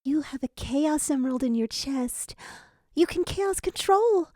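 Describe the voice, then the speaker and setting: A woman voicing a gentle, serene female spirit with a mystical, solemn manner. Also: high-pitched, lively intonation, unhurried, no room sound, clean studio sound, pitched above the performer's own voice.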